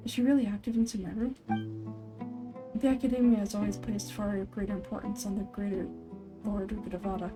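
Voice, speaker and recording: A woman voices a stern exiled investigator, a man of measured, formal words. The delivery is deliberate game dialogue, with music playing behind. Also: medium pitch, lightly acted.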